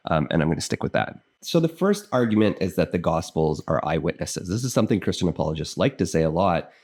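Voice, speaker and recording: A man's voice: low-pitched, big pitch swings, quick pace, darkish tone, husky. A Canadian man in his thirties, a biblical scholar, talking earnestly to camera and thinking aloud as he explains. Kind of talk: casual explanatory commentary to camera.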